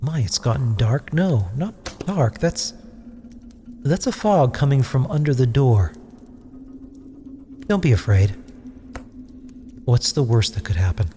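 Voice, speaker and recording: A man presents a spooky scripted podcast introduction with theatrical delivery. His fairly low voice rings in a long reverb over faint noise. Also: expressive, slow pace, pauses often, warm tone. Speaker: podcast host.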